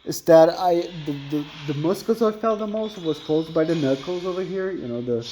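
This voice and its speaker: A man's voice: on the high side, normal speed, mellow, faint music behind. Thin-voiced young man rambling over gameplay. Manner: rambling, self-correcting, chatty. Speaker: gameplay commentator. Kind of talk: casual gameplay chat.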